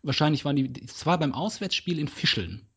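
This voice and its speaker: A man's voice: on the high side, expressive, fairly quick, a bit bright, slightly rough. A man co-hosting a casual chat podcast who tells jokey anecdotes about football mascots. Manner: joking, storytelling. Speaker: podcast co-host.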